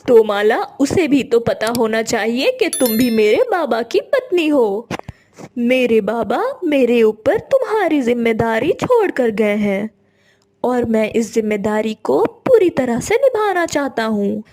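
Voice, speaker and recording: A woman's voice: on the high side, big pitch swings, a little slow, darkish tone, a little hiss or hum. A woman voicing a stern, protective young husband in a cartoon moral story, firm and scolding. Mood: earnest, firm.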